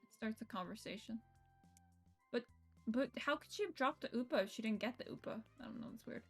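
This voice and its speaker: High-voiced expressive woman game streamer. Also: fairly quick, slightly rough. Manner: expressive, reactive, slipping into asides. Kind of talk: live stream read-aloud of a visual novel with casual reactions. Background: game music.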